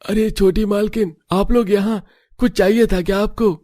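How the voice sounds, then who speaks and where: Man playing a high-pitched humble household servant. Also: steady tone, moderate pace, mellow, close to an everyday voice. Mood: deferential, joking. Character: humble, cheeky, helpful male household servant. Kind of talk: Hindi cartoon dubbing.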